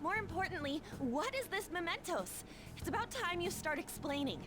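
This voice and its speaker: A woman's voice: very high-pitched, lively intonation, normal speed. A woman voicing a spirited, forthright teenage schoolgirl in an anime video game. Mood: puzzled, insistent. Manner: forthright, questioning. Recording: game music behind the voice.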